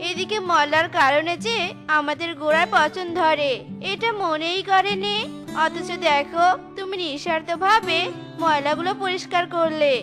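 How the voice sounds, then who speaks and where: A woman as a high-voiced kindly talking bamboo. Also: lightly acted. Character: kindly talking bamboo plant, grateful and generous. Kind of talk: children's cartoon dub.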